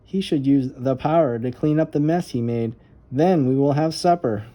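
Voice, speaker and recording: A woman voicing a sensible, mildly scolding babysitter in a children's storybook, speaking in a measured, firm way. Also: medium pitch, mellow, close to an everyday voice.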